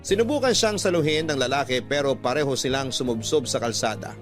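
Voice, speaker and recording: A man's voice: medium pitch, expressive, brisk. Male radio drama narrator over music. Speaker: host and narrator. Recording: music bed behind the voice.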